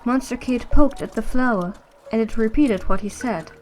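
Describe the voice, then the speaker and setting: A woman's voice: on the high side, moderate pace, a little mellow, soft background music, natural voice. A woman reading scripted story narration as the narrator of an audio drama.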